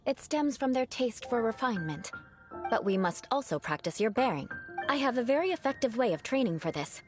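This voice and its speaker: An actress plays a poised young female knight of noble birth in an anime-style game. She speaks formally, as if tutoring, in a fairly high, animated voice. Music plays clearly behind her. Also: brisk, close to an everyday voice. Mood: composed, instructive. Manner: formal, refined, instructive. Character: poised young aristocratic female knight, haughty, refined, teasing.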